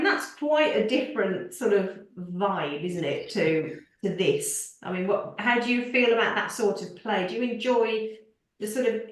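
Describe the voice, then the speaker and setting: A woman hosting a theatre interview, chatting with lots of hedging fillers and constant agreeing backchannels. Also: medium pitch. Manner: chatty, with many "sort of" and "I mean" fillers and frequent "yeah" backchannels. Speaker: theatre review show host, interviewer. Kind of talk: conversational interview. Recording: roomy, distant mic.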